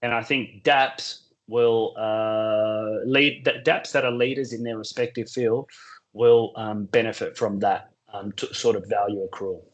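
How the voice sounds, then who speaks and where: A man with a sore throat, losing his voice, talking through a solo market update in a measured, explanatory way. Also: on the low side, fairly even intonation. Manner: measured, explanatory, works through points in numbered order. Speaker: crypto market commentator.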